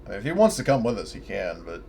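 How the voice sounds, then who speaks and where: A man's voice: on the low side, very animated, fast talker, vocal fry, a crowd in the background. A man chatting casually and exclaiming with swearing as a player in a tabletop roleplaying game. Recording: faint background noise.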